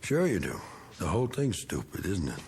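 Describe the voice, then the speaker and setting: An actor playing a self-pitying, bigoted middle-aged war veteran who drinks, rambling in folksy slang in a 1960s television drama. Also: male voice, deep voice, huge pitch swings, moderate pace, a little mellow, faint music behind. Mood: gruffly confiding.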